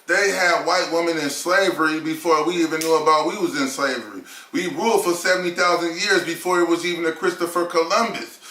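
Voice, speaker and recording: A man argues his points in a heated casual discussion, firing off rhetorical questions and swearing freely. His voice sits a little high with a bright, forceful edge. The room around him is echoey. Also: a little fast, few pauses. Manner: emphatic, argumentative, rhetorical questions, profane. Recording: roomy echo.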